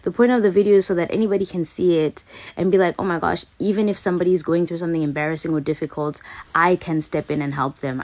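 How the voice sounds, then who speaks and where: Young female influencer, hoarse, earnest, lowish pitch, speaking straight to camera. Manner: earnest, pleading. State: voice hoarse from a cold. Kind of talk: direct-to-camera appeal.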